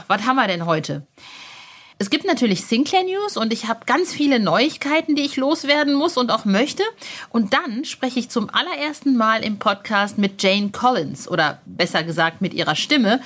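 A woman's voice: medium pitch, a bit bright. A woman hosting a podcast in a chatty, informal and enthusiastic way, speaking directly to listeners. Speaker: podcast host.

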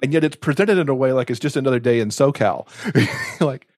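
A man's voice: mid-range voice, brisk, darkish tone, dry recording, studio quality. A man co-hosting a podcast, talking casually about books in an agreeable, enthusiastic way. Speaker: podcast co-host.

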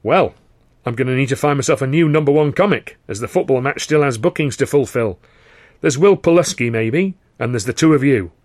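A man's voice: medium pitch, a little fast, a little mellow, dry recording, clean studio sound, voice pushed up, natural voice. A man voicing a pompous, scornful boss of a comedy company in an audiobook, talking in emphatic colloquial bursts. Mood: brisk, challenging. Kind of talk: audiobook character voice.

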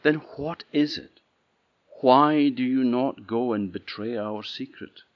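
A man's voice: a little high, very animated, a little slow, breathy edge, dull audio, voice pushed up, full character voice. A man voicing a haughty young princess in a told fairy tale. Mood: demanding.

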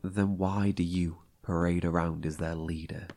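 A sardonic survivor with a rough, husky voice. The delivery is sceptical and bitter, defiantly mocking. The style is that of horror audio drama. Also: low voice, level tone, unhurried, darkish tone. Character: defiant, sardonic survivor challenging a cult leader.

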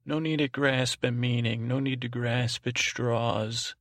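A man hosting a sleep podcast with an improvised, meandering monologue, halting, repeating himself and trailing off in a creaky voice. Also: medium pitch, steady tone, fairly bright tone, no room sound, studio quality. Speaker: podcast host. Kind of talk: rambling, improvised bedtime monologue.

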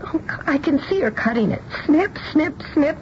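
Dramatic young bride-to-be, highish voice. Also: female voice, lively intonation, moderate pace. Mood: dismayed, whining. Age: young adult. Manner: petulant, teasing, emphatic. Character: spoiled, dramatic nineteen-year-old bride-to-be daughter. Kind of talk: radio drama acting. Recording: dull lo-fi radio recording, faint hiss.

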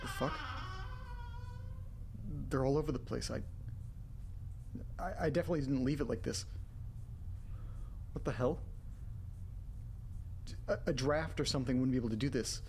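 An actor playing an anxious young man alone in a creepy house, talking haltingly to himself in a horror audio drama. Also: medium pitch, big pitch swings, a little mellow, a little hiss or hum. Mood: baffled, alarmed. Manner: halting, muttering to himself, swearing. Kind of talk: horror audio drama, first-person monologue.

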